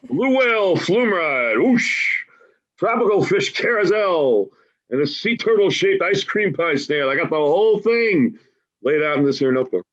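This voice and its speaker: Lo-fi call recording; stage role of folksy homeless dreamer played by a man, husky, coaxing, very animated. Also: high-pitched, a little slow. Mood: excited, warm. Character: big-hearted, folksy homeless man Joe, a dying dreamer. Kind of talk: staged play reading, dialogue. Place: remote reading over video call. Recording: dull, lo-fi video call audio.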